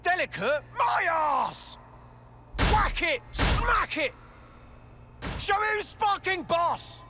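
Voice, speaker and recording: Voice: very high voice, big pitch swings, slow, shouting, noisy background, full character voice. An actor voicing a small, cocky male creature boss in a game cutscene, bossy and boisterous. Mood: bossy, impatient. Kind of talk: animated game cutscene acting.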